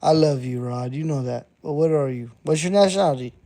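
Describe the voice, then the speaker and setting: A man's voice: fairly high pitch, fairly quick, warm tone. A man in his thirties, an underground rapper, talking bluntly and profanely to his live-stream chat, repeating himself as he goes. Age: 30s. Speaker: underground hip-hop artist streaming to chat. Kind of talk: casual live-stream monologue.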